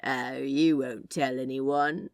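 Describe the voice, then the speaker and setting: A woman's voice: very deep voice, fairly even intonation, slow, no room sound, studio quality, exaggerated voice. A woman voicing a sly, laid-back male office worker who plays at being a spy, with a drawling, hesitant, conspiratorial manner. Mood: teasing.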